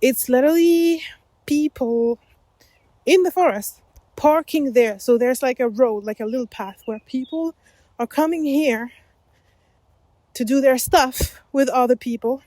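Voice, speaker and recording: A woman's voice: high voice, unhurried, long pauses, warm tone, no room sound. A woman whose first language is French, hosting an unedited solo podcast while walking outdoors along a forest path, rambling and correcting herself as she goes. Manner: rambling, self-correcting, laughs often. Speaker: podcast host.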